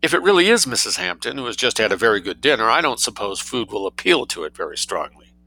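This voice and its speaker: A man voicing a contemptuous young gentleman in a short story, speaking with polite sarcasm. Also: mid-range voice, very animated, quick pace, exaggerated voice, low-quality recording. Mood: dryly polite. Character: suave, contemptuous, dryly mocking young gentleman.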